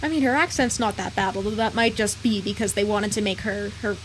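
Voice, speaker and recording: A woman's voice: medium pitch, brisk, slight background noise, low-quality recording. Woman thinking aloud over gameplay. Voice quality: thinking aloud, rambling with restarts. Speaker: let's play streamer. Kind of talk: casual gameplay commentary.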